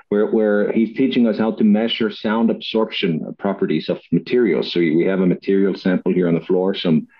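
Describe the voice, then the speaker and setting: A man from Iceland telling his story on a podcast in a chatty, relaxed way, with frequent "uh" fillers and restarts. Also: deep voice, lo-fi sound. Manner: chatty, laughs often, many "uh" fillers and restarts. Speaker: guest, acoustics company CEO. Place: Reykjavik, home or office.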